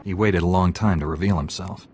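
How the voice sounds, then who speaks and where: An actor playing an earnest, resolute young Jedi apprentice in a video game, speaking in a serious, firm way. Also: male voice, deep voice, brisk, a little mellow, soft background music, natural voice. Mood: serious, questioning. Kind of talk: video game cutscene acting.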